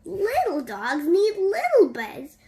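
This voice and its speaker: A woman reading a bedtime story aloud to young children in an animated, sing-song, drawn-out way. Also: very high-pitched, a little hiss or hum. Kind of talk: slow, expressive bedtime story read-aloud.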